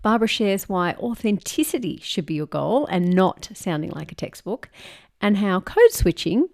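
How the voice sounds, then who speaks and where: A woman's voice: medium pitch, big pitch swings, moderate pace, creaky, a little mellow. An Australian woman podcast host reading an upbeat scripted episode introduction.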